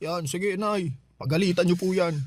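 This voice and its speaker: A man's voice: high-pitched, fairly even intonation, normal speed, no echo, studio quality. A man playing a petty, sulky grown son who tattles and taunts in a Filipino radio drama, with a Filipino accent. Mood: smug, taunting.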